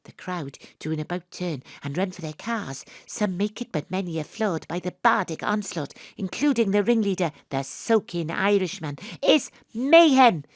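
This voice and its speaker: A woman's voice: lowish voice, expressive, normal speed, hardly any pauses, dry recording, clean studio sound. A woman playing a plucky, self-assured investigator, narrating her adventure as dramatic first-person storytelling in an audio drama. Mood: suspenseful, wry. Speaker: lead narrator.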